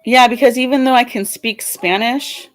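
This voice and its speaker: A woman's voice: on the high side, normal speed, no echo. A woman with a Californian accent, calling in to a live debate show, talking hesitantly with many restarts and fillers.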